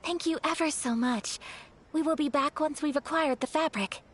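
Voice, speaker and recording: A woman voicing a polite, refined young noblewoman in a video game, speaking in a formal, gentle, courteous manner. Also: high voice, moderate pace, natural voice. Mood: gracious.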